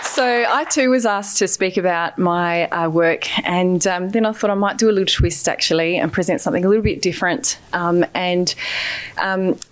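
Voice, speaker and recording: A middle-aged woman with an Australian accent speaks at a ceremony podium, her voice sitting low. She sounds earnest and thankful, pausing often on "um" as she gathers her thoughts. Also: slight background noise. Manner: earnest, grateful, many hesitant fillers. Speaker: award recipient giving acceptance speech. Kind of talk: acceptance speech. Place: ceremony hall.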